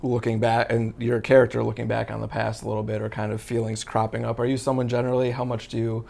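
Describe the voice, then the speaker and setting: A man's voice: medium pitch, completely flat delivery, fast talker, vocal fry, a little mellow. A man working as an entertainment interviewer, asking casual, winding questions in a tentative, conversational way.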